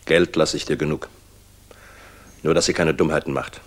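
A gruff air force general in a 1960s German radio play. The voice sits low and rough-edged. He speaks tersely and urgently, with a wry, worn-out edge. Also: fairly even intonation, moderate pace. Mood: gruff, concerned.

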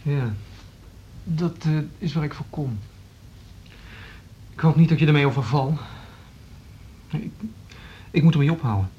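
A man's voice: measured pace, long pauses, natural voice. An actor playing a weary, dutiful male orchestra conductor, hesitant, subdued and earnest, in a radio drama. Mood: hesitant, downcast. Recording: muffled lo-fi recording, faint rumbling noise.

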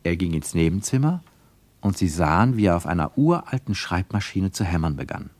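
A man's voice: sits low, sing-song, fairly quick, a little mellow, dry recording. A man narrating a literary radio play in a dramatic, emphatic manner.

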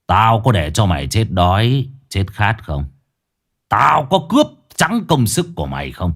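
A man voicing a cold, ruthless middle-aged gang boss who speaks slowly and menacingly. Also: fairly low pitch, full character voice. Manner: slow, menacing, icy calm.

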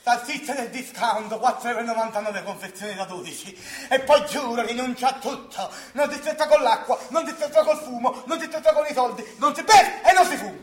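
An actress playing a penny-pinching, sarcastic, exasperated flatmate in a comic radio drama, with an emphatic, colloquial delivery. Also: female voice, on the high side, brisk, hardly any pauses, close to an everyday voice. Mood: grimly calculating. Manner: emphatic, colloquial, foul-mouthed ranting. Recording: echoey room with faint music.

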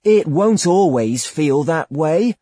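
Reader doing a lustful hermit man, slow, smooth-talking and pious-sounding, in a dry storybook reading. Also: mid-range voice, lively intonation, warm tone, dry recording, pitched above the performer's own voice. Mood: coaxing. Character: sly lustful male hermit, coaxing.

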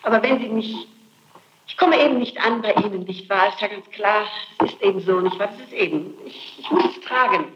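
A woman's voice: mid-range voice, fairly quick. A woman being questioned as a suspect, answering emphatically and insistently and repeating her phrases. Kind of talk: spontaneous interrogation testimony. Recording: dull, noisy, echoey archival tape.

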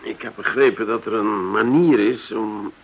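An actor in a radio drama playing a reserved, businesslike client who speaks politely and a little guardedly. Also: male voice, fairly low pitch, fairly even intonation, moderate pace, creaky, highs cut off, slight background noise. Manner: polite, guarded, slightly hesitant. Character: reserved, businesslike male client negotiating discreetly for partners.

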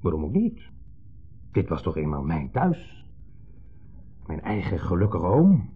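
A smug ex-husband in an old radio drama, needling and theatrically jovial. His voice is husky and fairly low, with insinuation under the pleasantries. The recording is muffled and narrow, with faint music. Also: huge pitch swings, measured pace, exaggerated voice. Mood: insinuating, bitter. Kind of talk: 1970s Dutch radio drama acting.

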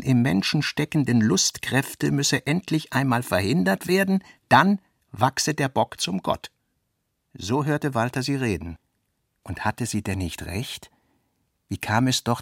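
A man's voice: medium pitch, creaky, dry recording. Male literary narrator, dry close sound. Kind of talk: scripted literary narration with quoted dialogue.

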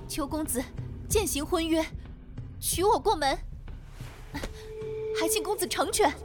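An earnest young noblewoman, acted by a woman, speaks in a high voice with polite, classical phrasing. It is period drama, with faint music behind. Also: a little slow. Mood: pleading. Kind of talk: Chinese period audio drama acting.

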